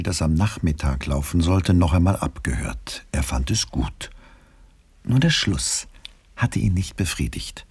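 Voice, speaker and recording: An actor as the measured, dry storyteller narrating a radio play from a script. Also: male voice, low-pitched, vocal fry, breathy edge, dry recording, full character voice.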